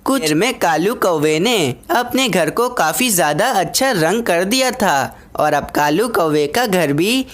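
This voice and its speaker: A woman reading scripted narration for an animated children's story. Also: lowish voice, unhurried, hardly any pauses.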